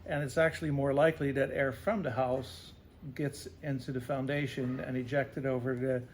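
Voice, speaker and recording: A man's voice: mid-range voice, flat delivery, normal speed, slight background noise. A man answering interview questions as a home-services specialist, explaining things in simple terms and often checking in with "right?". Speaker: radon remediation specialist being interviewed.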